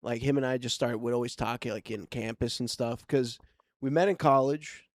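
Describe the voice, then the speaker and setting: A man's voice: medium pitch, fairly even intonation, moderate pace, no room sound, studio quality. A man in his twenties, a comedian guest on a podcast, talking in a laid-back, casual way and laughing easily. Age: 20s. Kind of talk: casual chat.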